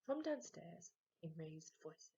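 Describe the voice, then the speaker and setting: A woman reads a children's story with very expressive, swinging pitch. She takes it slowly, leaving dramatic pauses for emphasis. Her voice is a touch husky. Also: highs cut off.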